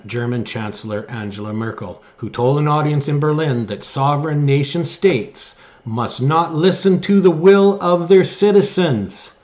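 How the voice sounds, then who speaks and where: A man hosting an online news show, giving opinion commentary and reading articles aloud in a halting way with emphatic stress. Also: mid-range voice, a little mellow. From Canada.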